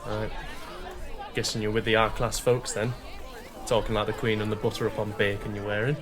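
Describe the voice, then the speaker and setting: A man's voice: on the low side, little pitch movement, normal speed, a crowd in the background, close to an everyday voice. An actor playing a cheeky but kindly young working-class Victorian man with an English accent, informal and slangy, in a period radio drama.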